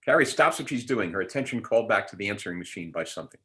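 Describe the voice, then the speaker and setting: Man, low pitch, bright forceful tone, script narrator, stage directions, table read. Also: lively intonation, rapid-fire. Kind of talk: reading script directions aloud.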